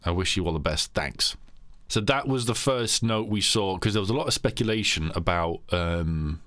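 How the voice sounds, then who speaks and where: A man with a very deep voice hosts a casual podcast. He rambles, restarting sentences and filling gaps with ums. The sound is dry and close. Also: expressive, moderate pace, a bit bright, no echo. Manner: rambling, many restarts and fillers. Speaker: podcast host.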